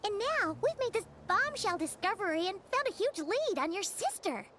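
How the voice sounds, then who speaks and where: A woman voicing a small, excitable, childlike fairy companion in an anime game, squeaky and chatty. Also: moderate pace, very noisy. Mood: excited. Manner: chatty, exclaiming, refers to self in third person. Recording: music behind the voice.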